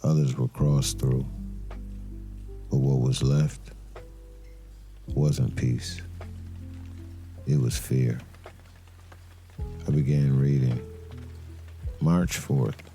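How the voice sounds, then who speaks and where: An actor playing a brooding, suspicious detective who narrates a noir crime drama in a grave, deliberate manner. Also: male voice, rumbling low, steady tone, mellow, faint music behind, exaggerated voice. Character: brooding male detective, terse, suspicious and methodical. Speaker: narrator and lead character of an audio crime drama.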